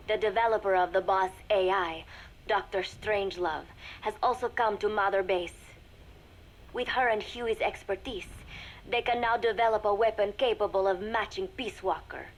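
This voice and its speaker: A woman voicing a composed young female spy in a video game audio log, speaking formally with measured, deliberate diction. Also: mid-range voice, natural voice. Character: composed young female spy secretly reporting to a shadowy master. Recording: noisy cassette tape recording with ambience.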